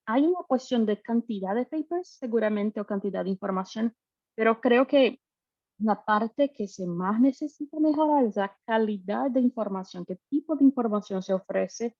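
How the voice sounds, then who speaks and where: Woman, gravelly edge, hesitant webinar panelist, muffled dry call audio. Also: fairly high pitch, normal speed, a little mellow, no room sound. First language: not Spanish. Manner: hesitant, searching for words. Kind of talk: webinar talk. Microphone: video call.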